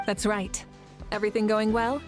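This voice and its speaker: A woman's voice: medium pitch, little pitch movement, moderate pace, faint music behind, lightly acted. A woman voicing a poised, kindly female warrior leader in an anime video game, composed and reassuring.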